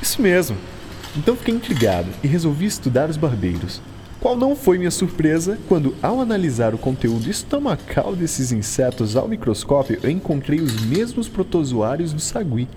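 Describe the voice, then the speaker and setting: A man's voice: a little high, sing-song, normal speed, warm tone, slight background noise. An actor playing an eager male physician-scientist who explains things like a storyteller, with a Brazilian accent, in an educational radio drama. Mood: eager, explanatory.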